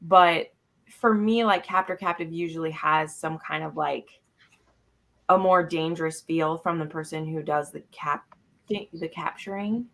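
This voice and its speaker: A woman talks books in a casual group chat, her voice on the low side with a strong gravelly fry. She is informal and animated, voicing her reactions as she goes. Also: a little slow. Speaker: book club panelist.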